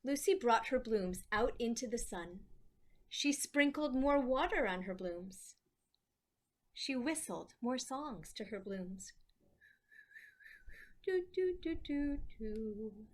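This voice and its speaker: A woman with a slightly high voice reads a children's story aloud. She takes her time, pausing between short phrases and giving the words expression. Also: animated. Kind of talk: slow, expressive picture-book read-aloud.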